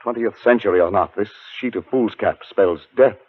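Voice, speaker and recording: A man acting in a 1940s radio drama as a haunted, educated gentleman, telling his story in a formal, deliberate, dramatic way. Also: lowish voice, slightly rough. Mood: grave. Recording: muffled 1940s radio recording, music behind.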